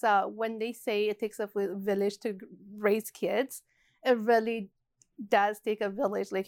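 A woman's voice: mid-range voice, no echo, clean studio sound. A woman in her forties from Ethiopia, talking in an interview in a hesitant way, with many fillers and restarts. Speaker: interview guest, shop co-owner. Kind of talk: casual interview.

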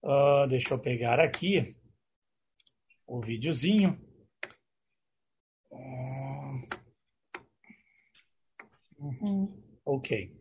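A Brazilian man presenting informally on a video call as a company executive, speaking in a hesitant way with a husky voice. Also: medium pitch, very slow, long pauses, darkish tone, slight background noise.

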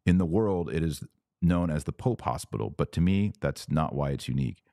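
A man's voice: a bass voice, animated, moderate pace, creaky, dark tone, no room sound, studio quality. A man hosting a religious commentary podcast, reading text aloud and remarking on it in an emphatic, questioning manner.

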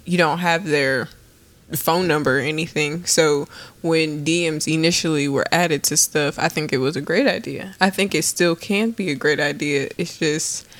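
A woman's voice: sits low, no echo. Teasing woman co-hosting a podcast. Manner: teasing, quick banter. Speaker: podcast co-host. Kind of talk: casual chat.

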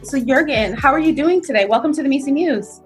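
Enthusiastic woman host, a bit high, faint music under her. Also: expressive, brisk. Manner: upbeat, enthusiastic. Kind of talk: podcast hosting.

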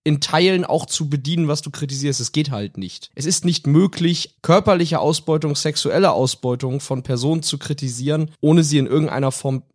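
A man's voice: fairly high pitch, brisk, hardly any pauses, no echo. Man in dry-sounding, analytical podcast discussion. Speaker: podcast host reviewing TV series. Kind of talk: conversational review discussion.

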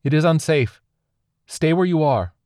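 A man's voice: medium pitch, normal speed, dry recording, studio quality, pitched above the performer's own voice, close to an everyday voice. A man voicing a wounded, grief-stricken alien envoy who pleads in formal, solemn speech for an audiobook-style story. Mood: solemn, guarded.

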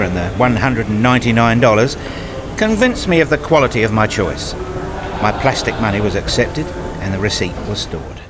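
A wry, anxious, nerdy dad played by a man telling his story in a radio play. His voice is on the low side, with dramatic, formal phrasing and noticeable noise behind it. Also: close to an everyday voice. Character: self-deprecating, anxious, nerdy father narrating his day. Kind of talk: first-person radio play narration.

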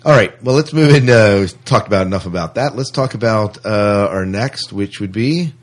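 A man co-hosting a podcast in casual conversation, halting and often repeating his phrases. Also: on the low side. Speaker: podcast co-host. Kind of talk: casual film and TV discussion.

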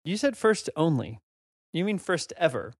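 A man's voice: fairly high pitch, sing-song, normal speed, no room sound, studio quality. A man co-hosting a casual podcast, full of wry jokes and banter. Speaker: podcast co-host.

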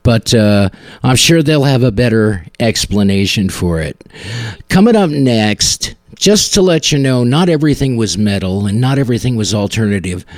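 A man's voice: mid-range voice, animated, normal speed, no room sound, studio quality. A man hosting a music podcast, talking casually and conversationally between songs, rambling a little as he searches for words. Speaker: podcast host.

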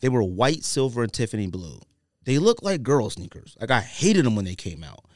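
A man's voice: medium pitch, big pitch swings, normal speed, darkish tone, dry recording, clean studio sound. A man hosting a casual podcast, talking in an informal, slangy, opinionated way. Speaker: podcast host. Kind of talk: casual sneaker podcast chat.

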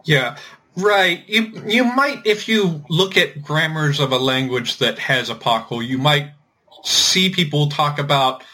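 Halting man with a bright voice. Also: on the high side. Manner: halting, thinking aloud with repeated words. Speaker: podcast host. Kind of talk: informal explanatory discussion of linguistics.

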